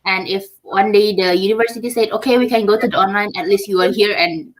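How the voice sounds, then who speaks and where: Woman student chatting on a video call, bit of faint noise behind, mid pitch. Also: fairly even intonation, quick pace, low-quality recording. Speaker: international student. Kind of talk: live online Q&A.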